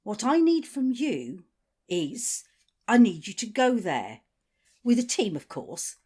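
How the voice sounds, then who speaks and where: A woman's voice: fairly high pitch, very animated, slow, a little mellow, husky, heavily acted. An actress playing the authoritative female leader of a survivor community in an audio drama. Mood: businesslike, commanding. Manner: authoritative, swearing, shifts from supportive to domineering. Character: commanding female community leader, confiding yet ruthless.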